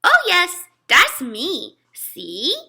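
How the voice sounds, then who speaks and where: A woman's voice: high-pitched, huge pitch swings, extremely slow, gravelly, bright, forceful tone, pitched above the performer's own voice, heavily acted. A woman voicing an insistent, demanding little toddler boy in a children's storybook read-aloud. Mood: excited, insistent. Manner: insistent, emphatic, simple speech.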